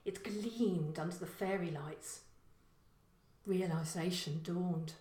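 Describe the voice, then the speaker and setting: A woman reading a short story as scripted narration, in a measured, expressive storytelling manner. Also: on the low side, reverberant.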